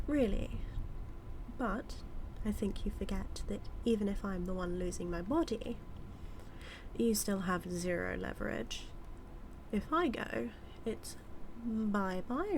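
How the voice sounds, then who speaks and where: A British-accented woman playing a smug, manipulative evil magician in a scripted audio roleplay, teasing and taunting with deliberate, drawn-out phrasing. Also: medium pitch, a little mellow, slight background noise, close to an everyday voice. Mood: teasing, amused, then coolly persuasive.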